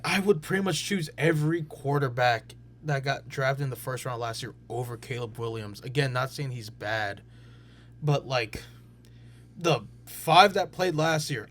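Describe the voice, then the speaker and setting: A man's voice: mid-range voice, moderate pace, a bit bright. A young man co-hosting a sports podcast, arguing his picks in assertive bursts. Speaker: podcast co-host. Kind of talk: casual sports debate.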